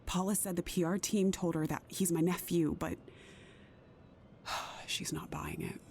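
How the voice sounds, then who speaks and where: A troubled, guarded career woman in an intimate audio drama. Her voice is lowish and soft-edged, measured and confiding. A faint rumble sits behind her. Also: fairly even intonation. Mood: anxious, confiding. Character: careful, troubled career woman, secretly a mother.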